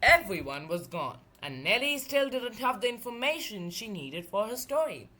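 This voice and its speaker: A woman reading and retelling a children's story aloud, expressive, with emphatic drawn-out words. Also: a little high.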